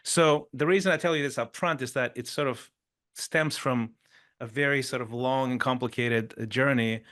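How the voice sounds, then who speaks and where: A man's voice: mid-range voice, moderate pace, a bit bright. A middle-aged man from Chile, a podcast guest telling his life story in a conversational way, often adding "sort of" and "right?". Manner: frequent "sort of" and "right?" tags, brief restarts. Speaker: podcast guest, performance coach.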